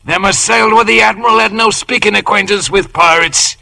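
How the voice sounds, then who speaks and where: A forceful, high-pitched West Country sea cook for a radio play. He is jovial and sly, flattering his betters in folksy sailor talk, with a gravelly creak in the voice. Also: brisk, full character voice. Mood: earnest, humble. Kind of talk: old-time radio drama acting.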